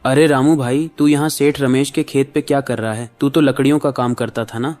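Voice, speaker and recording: Male actor as cautioning villager, medium pitch, blunt, distrustful, cartoon story, faint music. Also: fairly even intonation, normal speed, darkish tone, close to an everyday voice. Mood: curious. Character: suspicious, cautioning village man, blunt friend. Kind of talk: Hindi cartoon moral-story dubbing.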